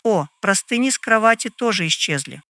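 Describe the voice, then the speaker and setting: A woman's voice: mid-range voice, animated, fairly quick, bright, forceful tone, no room sound, clean studio sound. A woman playing a composed landlady in an old London detective audio drama, speaking formally and a little hesitantly. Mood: uncertain.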